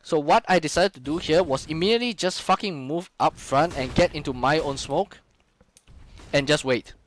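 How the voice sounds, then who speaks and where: A man's voice: fairly high pitch, a bit bright, no room sound. A young man, casually talking through his own game footage on a live stream, loose and full of fillers and curses. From Singapore. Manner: casual, frequent swearing and fillers.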